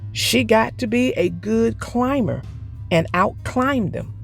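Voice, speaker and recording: A woman narrating a children's read-aloud as storyteller, expressive and deliberate. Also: fairly high pitch, creaky, faint music behind.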